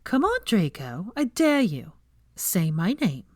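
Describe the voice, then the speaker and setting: A woman's voice: medium pitch, very animated, slow, a little mellow, dry recording, clean studio sound. A woman voicing a playful, bossy young witch in an audiobook, insistent and exclaiming, with teasing false sweetness.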